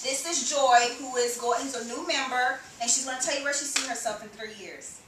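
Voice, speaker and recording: A woman's voice: high voice, a little fast, echoey room, noisy background. An eighteen-year-old woman hosting a casual group chat, playful and joking, filmed by a far camera in a living room. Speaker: host. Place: carpeted living room, indoors.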